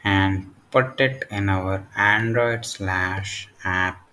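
A man with a fairly low voice presents a step-by-step software tutorial. He speaks very slowly, in short, halting phrases. There is faint noise behind his voice.

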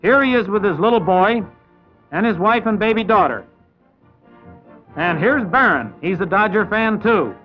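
High-pitched man narrating a vintage sports newsreel. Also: lively intonation, normal speed, pauses often. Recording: dull vintage 1950s newsreel sound, music behind.

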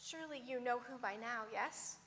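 Woman pastor, sermon, bright clear tone, long church reverb, measured delivery with hushed phrase endings. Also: on the high side, slight background noise. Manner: measured, softening to a hush at phrase ends. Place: large church sanctuary before a pipe organ.

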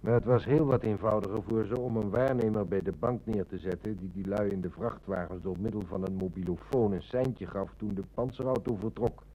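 A man's voice: lowish voice, fairly quick, hardly any pauses, dark tone, poor audio. A middle-aged man acting a seasoned, confident police inspector in a Dutch radio play. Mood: self-assured.

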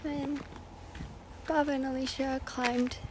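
A woman's voice: sits high, flat delivery, takes it slowly. A young woman chatting casually as she vlogs outdoors, recorded on a phone held at arm's length. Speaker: travel vlogger. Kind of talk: casual vlog chat. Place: outdoors in open nature. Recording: noisy outdoor phone audio.

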